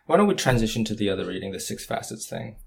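A man's voice: fairly low pitch, very animated, fast talker, gravelly, husky. A man hosting the discussion, who asks questions and steers the conversation. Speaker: discussion host. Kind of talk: casual discussion.

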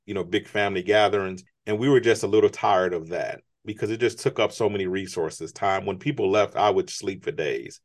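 Male interview guest, low register, earnest motivational delivery. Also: fairly quick, hardly any pauses. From United States. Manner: earnest, motivational, emphatic rises. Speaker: guest, business strategist. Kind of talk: conversational interview.

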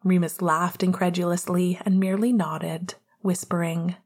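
A woman narrating a story as a podfic reader, with a soft, breathy, husky voice and an intimate, scripted delivery. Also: lowish voice, flat delivery, normal speed.

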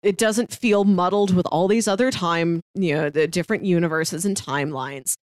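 A woman's voice: fairly low pitch, normal speed, dry recording, studio quality. A woman co-hosting a podcast, chatting casually and explaining her take on a show with emphasis. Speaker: podcast co-host. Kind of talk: casual TV discussion chat.

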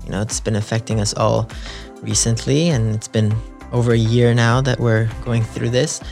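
A man's voice: mid-range voice, little pitch movement, moderate pace, gravelly, darkish tone, faint music behind. A man co-hosting a podcast, upbeat and chatty, leaning on "you know" and "like". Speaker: podcast co-host. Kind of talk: casual podcast chat.

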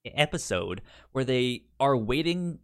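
A man's voice: medium pitch, sing-song, measured pace, clean tone. Stuttering man in casual podcast chat. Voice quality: stutters, repeating first syllables of words. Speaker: podcast co-host.

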